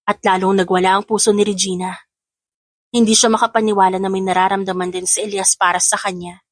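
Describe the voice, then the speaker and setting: A woman's voice: medium pitch, little pitch movement, fast, no room sound. A woman giving scripted narration of a romance story in Tagalog.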